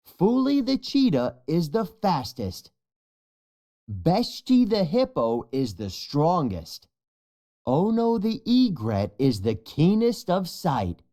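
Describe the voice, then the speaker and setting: Storybook narrator reading for kids, real animated pitch, takes it slow. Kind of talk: scripted children's storybook narration.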